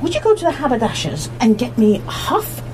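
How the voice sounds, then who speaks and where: A homely hostess played by a woman fusses over her guests in a radio play. Her high voice swings very widely, with a faint hum behind it. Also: wildly swooping pitch, brisk, a little mellow, poor audio. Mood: puzzled, fussing. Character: homely, fussing sister hosting guests at home. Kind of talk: live amateur radio play acting.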